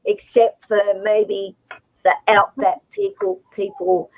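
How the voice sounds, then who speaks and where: A woman's voice: mid-range voice, slow, no echo. A chatty woman with an Australian accent, talking casually on a livestream video call and laughing easily. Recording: dull, lo-fi and dry.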